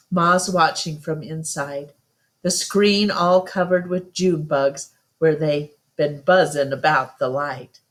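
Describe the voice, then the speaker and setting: A woman storyteller reading a first-person children's novel aloud as narration. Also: lowish voice.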